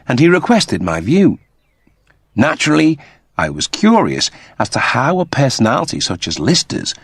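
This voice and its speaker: A man reading a scripted story as an expressive audiobook narrator. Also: lowish voice, husky, dry recording, clean studio sound.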